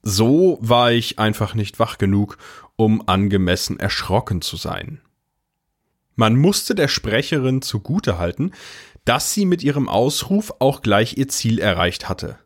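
Audio drama casting: man as deep-voiced older brother, first-person narrator with dry, understated wit. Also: very animated, measured pace. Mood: wry. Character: wry, unflappable older brother narrating his story.

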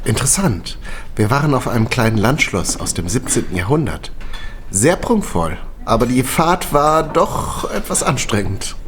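An actor playing an affectionate, mischievous young man in a naturalistic, conversational audio drama style with a husky voice. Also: medium pitch, sing-song, normal speed, fairly bright tone, a little hiss or hum. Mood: polite, casual.

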